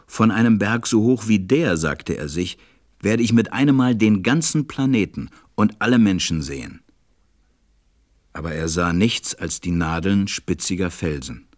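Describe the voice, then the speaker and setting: A man narrating a fairy tale as a measured, reflective storyteller in a radio play. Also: deep voice, lively intonation. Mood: calm, reflective.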